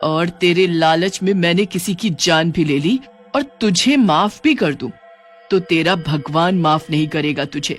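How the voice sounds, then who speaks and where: A man's voice: fairly high pitch, a little slow, faint music behind, lightly acted. A man voicing a menacing, short-tempered female witch in a dramatic horror storybook, demanding and threatening. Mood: vengeful.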